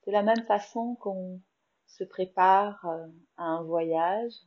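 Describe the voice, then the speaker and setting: Slow soothing French woman guiding meditation. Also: mid-range voice, muffled recording. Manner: slow, soft, soothing guidance with long pauses. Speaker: yoga nidra teacher. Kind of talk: guided meditation.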